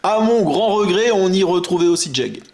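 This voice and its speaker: A man with a high, very lively voice talks straight to his viewer in a chatty commentary. The sound carries a strong room echo. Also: moderate pace. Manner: chatty, addresses the viewer directly. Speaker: YouTube host. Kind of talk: casual video commentary.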